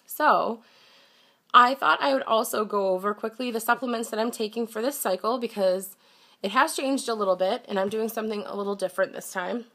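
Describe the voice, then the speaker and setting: A woman's voice: fairly high pitch, brisk, sharp tone. A young woman chatting straight into her camera, her voice a bit stuffy and congested. She talks candidly and casually, at times a touch defensive, like she is setting her viewers straight. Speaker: vlogger.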